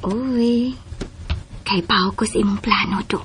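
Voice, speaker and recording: A woman playing a gentle, tender young wife and mother in a Philippine radio drama. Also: mid-range voice, takes it slowly, noisy background, natural voice.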